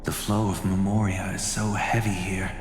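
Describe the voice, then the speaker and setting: Man voicing a solemn low-voiced gentleman. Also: level tone, normal speed, natural voice. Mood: grave, reflective. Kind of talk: video game story voice acting. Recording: echoey hall reverb, music behind.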